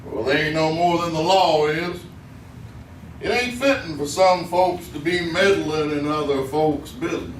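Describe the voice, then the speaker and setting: A man's voice: on the high side, unhurried, natural voice. An actor playing a blunt, menacing backwoods mountain man with a Southern mountain accent in an old-time radio western. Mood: blunt, defiant. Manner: blunt, folksy backwoods talk. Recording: roomy, distant old radio recording, noisy.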